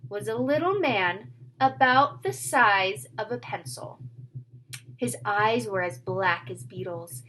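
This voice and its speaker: A woman's voice: mid-range voice, a bit bright, rough recording. A woman reads a children's story aloud in a mid-range voice, breaking off now and then for casual asides. The recording carries noise behind her. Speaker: storyteller reading a book aloud.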